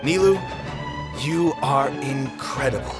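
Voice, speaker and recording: A man voicing a solemn, fatherly older theater owner in a video game, speaking in a measured way. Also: medium pitch, animated, over loud music. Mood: solemn admiration.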